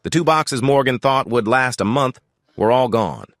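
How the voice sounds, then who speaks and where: A man narrating an audio drama in a steady, measured storytelling voice on a close mic. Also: medium pitch, no room sound.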